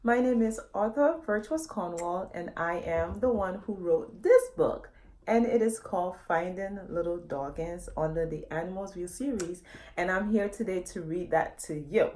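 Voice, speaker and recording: A woman's voice: fairly low pitch, moderate pace, a little mellow, a little hiss or hum. A woman author reading a children's story aloud, expressively, often addressing young listeners directly with questions.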